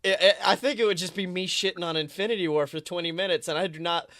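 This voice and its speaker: A podcast co-host chatting casually about films in an animated, emphatic way with plenty of swearing. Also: mid-range voice, fast talker, no room sound, clean studio sound. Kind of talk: casual film discussion podcast chat.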